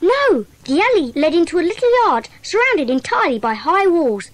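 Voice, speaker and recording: A woman's voice: very high-pitched, sing-song, moderate pace, low-quality recording. A woman playing an eager, earnest schoolboy sleuth who gives detailed reports in a children's audio drama. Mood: earnest, puzzled.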